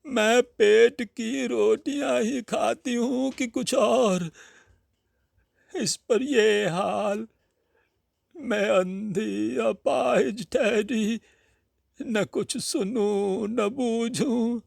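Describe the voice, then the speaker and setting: A man's voice: extremely high pitch, gravelly, darkish tone, no echo, studio quality, pitched above the performer's own voice, heavily acted. A man voicing a hungry, self-pitying blind old aunt in a Hindi storybook tale, with a quavering, plaintive manner. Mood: plaintive, aggrieved. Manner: slow, plaintive lamenting.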